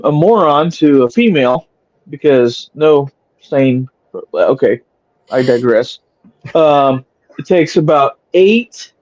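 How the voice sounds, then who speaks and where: A man chatting casually as a talk podcast guest, opinionated and crude, often halting as he speaks. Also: on the high side, a little mellow, dry recording, lo-fi sound. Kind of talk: casual chat.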